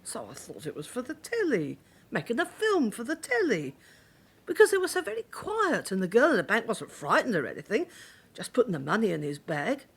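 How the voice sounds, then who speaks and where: Flustered, husky, expressive woman witness. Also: a little high, brisk, full character voice. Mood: rambling, indignant. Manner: rambling, defensive, matter-of-fact. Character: flustered, indignant ordinary woman bank-raid witness. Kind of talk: naturalistic radio drama acting.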